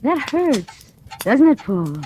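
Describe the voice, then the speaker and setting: A woman's voice: high-pitched, very animated, slow pace, darkish tone, heavily acted. An actress in 1940s radio drama playing a vengeful dying wife who taunts her husband with eerie laughter. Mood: taunting. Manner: mocking, lilting, eerie laughter. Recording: noisy old radio broadcast.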